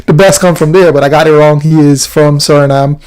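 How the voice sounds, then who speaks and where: Upbeat male talk-show host, playful and quick, pitched a little high. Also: fairly even intonation, darkish tone. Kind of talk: casual interview chat.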